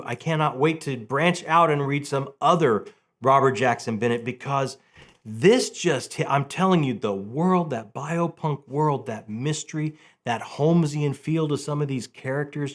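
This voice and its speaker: A middle-aged man chatting casually and enthusiastically about books as a channel host, repeating phrases for emphasis. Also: mid-range voice. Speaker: host of a book review channel.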